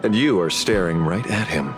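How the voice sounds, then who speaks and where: An actor playing a gruff, wry investigator in a video game, confident and sardonic. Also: male voice, sits low, animated, moderate pace. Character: gruff, wry, instinct-driven male investigator. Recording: music behind the voice.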